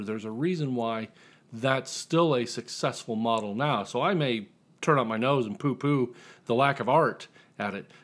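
A tipsy American man from Indiana chatting casually as an author guest, rambling, self-deprecating and profane. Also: mid-range voice. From Indiana, United States. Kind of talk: casual chat.

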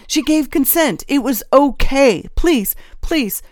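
A woman's voice: lowish voice, takes it slowly, no echo, clean studio sound. A woman voicing a craven old male traitor in an audiobook, whining and pleading frantically in a weak voice. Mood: whining, defensive. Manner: frantic pleading with repeated phrases.

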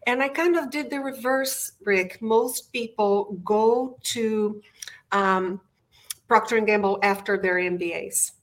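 Brief: middle-aged woman, Portuguese-native Brazilian accent, lively storyteller, interview guest. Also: medium pitch, slow. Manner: enthusiastic storyteller. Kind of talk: interview conversation. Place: home office.

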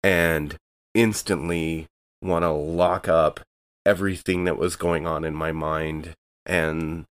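A man with a very low, deep voice plays a tabletop game. He talks through his character's moves with a lot of pauses and fillers. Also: fairly even intonation, clear, resonant tone. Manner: describes his character's actions with hesitant fillers. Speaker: player.

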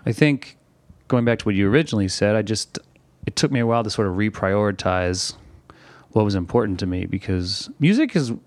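A man, a touring songwriter, talking casually on a podcast in a relaxed, rambling way. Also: on the low side, fairly quick, no room sound. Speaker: touring musician and songwriter guest.

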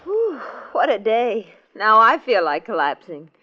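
Noisy muffled old radio, wry girl assistant, highish voice, animated. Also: a little slow. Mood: weary, playful. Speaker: radio drama actor. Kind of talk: 1940s radio comedy acting.

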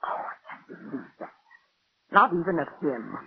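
An actress playing a tense, exasperated wife in a 1940s radio drama, with a dramatic, strained delivery. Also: female voice, low voice, big pitch swings, normal speed. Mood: troubled disbelief. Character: tense, exasperated wife weary of her taunting husband. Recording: muffled, hissy old radio recording.

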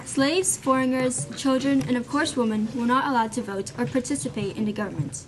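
Clear noise runs behind a young woman's somewhat high voice. She delivers scripted narration for a student radio play. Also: fairly quick. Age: young adult. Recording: clearly noisy amateur recording.

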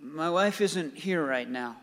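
An anxious, earnest family man in a radio play, hesitant and prone to stammer. His voice sits a little high, in long hall reverb. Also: lively intonation, fairly bright tone, full character voice. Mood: jumpy, wary. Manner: halting, stammering.

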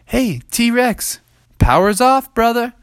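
A storyteller voicing an excitable young frog boy and his pals in a children's story, slangy and chummy. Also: medium pitch, slow pace, smooth, no room sound, clean studio sound, pitched above the performer's own voice. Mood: excited.

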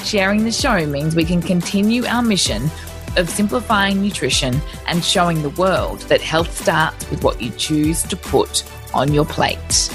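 A woman's voice: fairly low pitch, unhurried. A woman hosting a podcast, reading a scripted, upbeat introduction. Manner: upbeat, lively read. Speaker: podcast host. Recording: music bed under voice.

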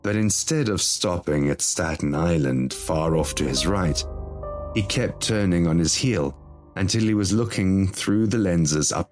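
A man reading a scripted horror story as its narrator. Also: low voice.

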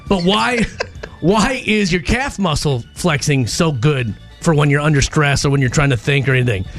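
A man co-hosting a morning radio show, explaining and bantering in an animated, conversational, punchy way from a studio. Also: on the high side, normal speed, soft background music.

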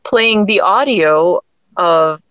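A woman's voice: fairly low pitch, expressive, extremely slow, fairly bright tone, clear, resonant tone, dry recording, muffled recording. A woman guest, a writer, talking in an enthusiastic, chatty way in a casual interview. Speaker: guest, writer and audio drama creator.

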